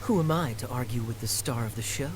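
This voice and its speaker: A deep-voiced, wry young actor. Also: female voice, fast talker, noisy background. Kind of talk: video game voice acting. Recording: game audio with music behind.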